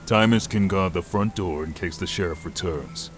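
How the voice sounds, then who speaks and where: A man playing a stern dragonborn paladin and leader in a fantasy audio drama, commanding, deliberate and easily exasperated. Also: low-pitched, faint music behind, natural voice. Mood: stern, pondering.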